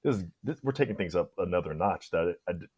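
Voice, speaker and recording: A man's voice: low voice, lively intonation, fast talker, darkish tone, no echo, clean studio sound. A man speaking as a podcast guest, discussing a film in a casual, conversational way with emphatic reactions. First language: English.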